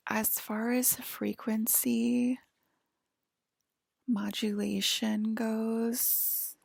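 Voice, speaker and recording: A woman in a soft-spoken, whispered ASMR roleplay, breathy and halting, explaining things in a hushed, soothing, clerk-like way. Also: fairly high pitch, dry recording.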